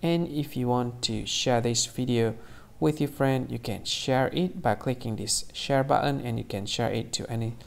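Gravelly man explaining in simple words. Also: mid-range voice. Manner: repetitive, simple wording, slightly halting. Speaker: quiz answer channel host. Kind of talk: plain scripted explainer.

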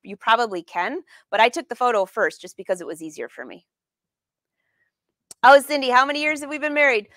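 A woman's voice: fairly high pitch, very animated, fairly quick, a bit bright, no echo, clean studio sound. A middle-aged woman hosting a casual live craft demonstration, chatting informally and putting questions to her viewers. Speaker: host of a live sewing lesson. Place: sewing studio room, indoors.

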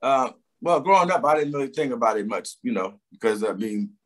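Um homem de meia-idade com sotaque da Filadélfia, falando numa conversa descontraída por videochamada, com muitas pausas e hesitações. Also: male voice, mid-range voice. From Philadelphia, USA. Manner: relaxed, rambling, many fillers and restarts. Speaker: singer and guest. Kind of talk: casual interview chat. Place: home room with a door behind. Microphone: video call webcam.

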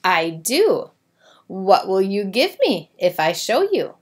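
A woman's voice: medium pitch, very animated, takes it slowly. Sly jungle creature for a picture book, read by a woman, questioning and bargaining.

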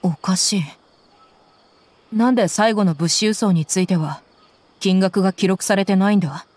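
A woman's voice: medium pitch, normal speed, darkish tone, a little hiss or hum, close to an everyday voice. An actress playing a tough, confident young woman mercenary in a Japanese anime game, with blunt, intimidating phrasing. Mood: focused.

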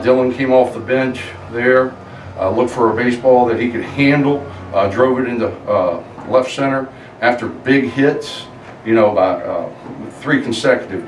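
A middle-aged man, a head baseball coach, answering at a post-game press conference, halting with many fillers and restarts. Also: medium pitch. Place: press conference room with backdrop, indoors. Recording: echoey room, distant mic, background noise.

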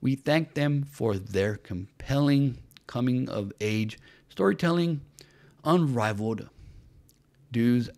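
A man's voice: mid-range voice, expressive, slow, a little mellow. A man giving mocking commentary on entertainment news, sarcastic and fond of repeated rhetorical questions. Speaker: commentary channel host.

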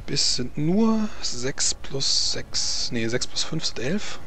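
A man running a tabletop role-playing game as game master, narrating combat and ruling, with halting pauses. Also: mid-range voice, big pitch swings, pushed and bright, a little hiss or hum. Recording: music behind the voice. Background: background music.

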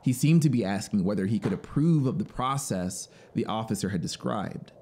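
A man in his thirties reads a literary story with expressive, measured delivery. His pitch is animated, and he is close on a studio mic with a faint ambient wash behind him. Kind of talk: dramatic literary narration. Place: small home music studio. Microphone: close studio microphone on boom arm. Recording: faint background noise and ambience. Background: ambient soundscape.

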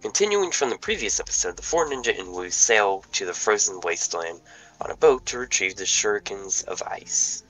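A man's voice: fairly low pitch, expressive, moderate pace, a bit bright, rough recording. A man reading the stage directions of a fan table read aloud, stumbling over words now and then. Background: background music.

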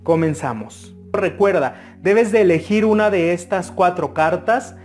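A man's voice: high-pitched, a little slow, a little mellow, soft background music. Mexican man giving earnest tarot readings. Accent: Mexican Spanish. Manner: earnest, advising, with frequent hesitations. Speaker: tarot reader. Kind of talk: interactive tarot reading to camera.

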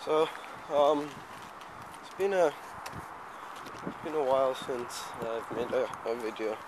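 Teenage lad rambling over loud street noise. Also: male voice, medium pitch, takes it slowly. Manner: hesitant, meandering, offhand. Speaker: amateur vlogger. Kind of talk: casual rambling walking vlog. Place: outdoors on a residential street, walking. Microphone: handheld camera microphone. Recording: handheld camera outdoors, loud street noise.

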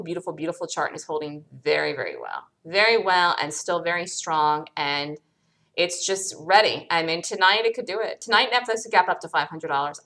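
Bright, emphatic low-voiced woman analyst. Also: low-quality recording. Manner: emphatic, repeats words for stress. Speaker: host, stock trader and analyst. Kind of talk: live chart analysis commentary. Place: indoors, screen-recorded voice-over.

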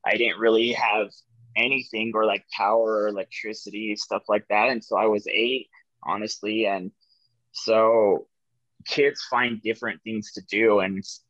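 A young man in his twenties speaks in a lowish voice, softly and reflectively, with lots of "like" and "um" as he tells his own story. He is on a video call from an office room. The webcam audio is dull and lo-fi, with a dry sound and almost no room echo. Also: little pitch movement, a little slow. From New Mexico, USA. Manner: soft-spoken, reflective, frequent "like" and "um" fillers. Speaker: guest sharing his recovery story. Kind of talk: candid personal storytelling on a podcast. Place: office room with ceiling lights.

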